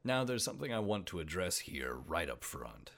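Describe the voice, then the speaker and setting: A man hosting a casual podcast, hoarse today, chatty and self-deprecating with playful asides. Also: sits low, very animated, normal speed, fairly bright tone. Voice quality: gravelly. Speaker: podcast host. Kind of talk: casual solo podcast about saints.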